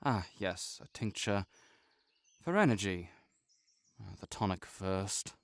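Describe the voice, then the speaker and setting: A man playing a sickly, proud and melancholy nobleman in an intimate audio roleplay, speaking formally with measured, old-fashioned phrasing. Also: low-pitched, animated, vocal fry, no room sound, exaggerated voice. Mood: dry, mildly testy.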